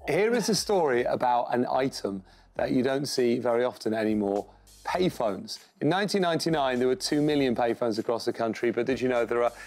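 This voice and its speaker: A man's voice: medium pitch, animated, brisk, a little mellow, soft background music. A man co-hosting a news show in a wry, jokey and opinionated way. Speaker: co-host. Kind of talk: casual news chat.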